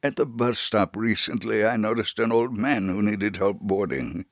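A man's voice: fairly low pitch, normal speed, dry recording, studio quality. A man giving a dramatic reading of a first-person story, narrating as the storyteller. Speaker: reader of a first-person memoir.

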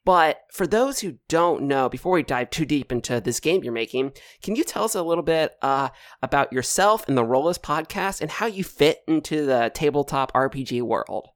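A man's voice: on the high side, expressive, moderate pace, few pauses, dry recording, studio quality. A man hosting a podcast, asking questions in a loose, friendly casual interview. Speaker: podcast host.

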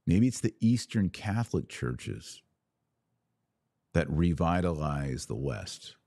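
A man's voice: low-pitched, sing-song, takes it slowly, warm tone, dry recording, studio quality. A man hosting a podcast, giving unscripted religious commentary in an emphatic way, repeating phrases for weight.

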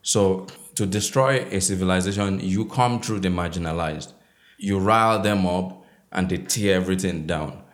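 A man from Nigeria, a novelist in his thirties, answering interview questions in a measured way with many fillers. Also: deep voice. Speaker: novelist guest.

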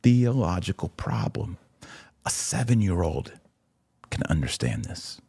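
A man with a deep, slightly husky voice hosts a live religious commentary show. He speaks emphatically and repeats short phrases to drive a point home. The sound is close and dry. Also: sing-song, unhurried, warm tone, no echo. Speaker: podcast host and religious commentator. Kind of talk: live commentary answering viewer questions.

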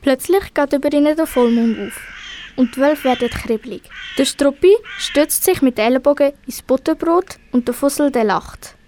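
A woman's voice: sits high, moderate pace, mellow, slight background noise. A teenage girl with a Swiss accent, narrating a scripted children's story. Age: teens. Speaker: storyteller in a school radio play.

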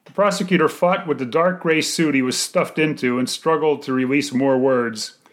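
A man reading his own first-person short story aloud in a measured, articulate way. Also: a little high.

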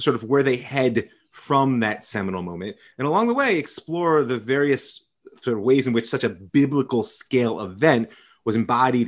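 A man hosting an interview as a rabbi, speaking thoughtfully and effusively, with many fillers and restarts. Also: mid-range voice. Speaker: podcast host, rabbi. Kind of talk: conversational interview.

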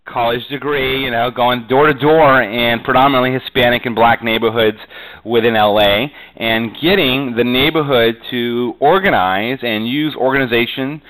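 A man's voice: medium pitch, steady tone, moderate pace, a bit bright, poor audio. A middle-aged man from New England talking conversationally as an interview guest, rambling and often padding with "you know". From New England, USA. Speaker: podcast guest, real estate agent. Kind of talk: conversational interview, telling his career story.

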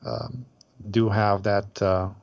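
A man's voice: on the low side, level tone, takes it slowly, gravelly, darkish tone, no echo, dull audio. A man from Aruba who organizes group trips, chatting in an interview with many hesitant fillers and restarts. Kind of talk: casual interview chat.

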